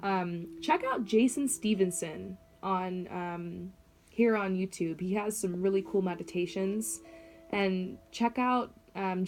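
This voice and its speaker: A young woman gives an intuitive reading in a relaxed, rambling way, scattering fillers as she goes. Her mid-range voice has a slight rasp from talking all day without water. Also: medium pitch. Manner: chatty, lots of "like" and "um" fillers. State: slightly raspy, short of water. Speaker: clairvoyant reader. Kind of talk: casual one-on-one tarot-style reading.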